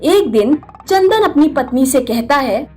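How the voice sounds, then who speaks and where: A woman's voice: sits high, animated, a little slow, darkish tone, faint music behind. A woman narrating a scripted moral fairy tale for children. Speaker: storyteller.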